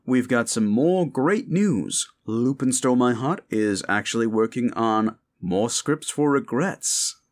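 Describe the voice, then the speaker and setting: A man, a voice actor and channel host, chatting casually and earnestly to his listeners in an unscripted ramble. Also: mid-range voice.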